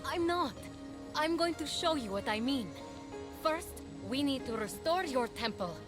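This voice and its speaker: High-voiced, earnest young game heroine. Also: female voice, lively intonation, a little slow, over music. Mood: encouraging. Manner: earnest, encouraging. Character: young mortal hero, earnest, stubborn and caring. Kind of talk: animated video game acting.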